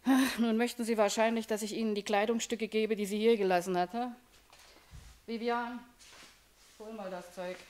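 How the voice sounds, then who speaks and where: A woman's voice: fairly high pitch, fairly even intonation, moderate pace, pauses often, a little mellow, lightly acted. An actress playing a jaded, world-weary woman shop owner with a shady past, dismissive and brusque, in a German radio crime drama. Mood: jaded, indifferent.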